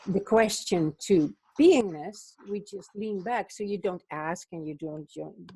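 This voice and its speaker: Slow, husky woman musing contemplatively. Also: mid-range voice, a little mellow. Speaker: book club co-host. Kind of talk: contemplative spiritual discussion.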